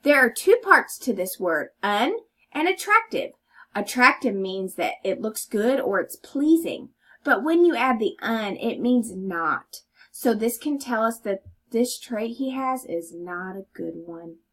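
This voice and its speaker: A woman's voice: fairly high pitch, very animated, a little slow, fairly bright tone. A woman reading a children's story aloud and teaching as she goes, explaining words and asking questions. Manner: instructive, inviting listeners to think.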